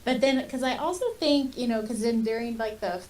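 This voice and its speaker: A woman's voice: a little high, fairly quick, a little mellow, slight background noise. A woman co-hosting a podcast, talking in a casual, conversational way. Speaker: podcast co-host. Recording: distant mic, music underneath.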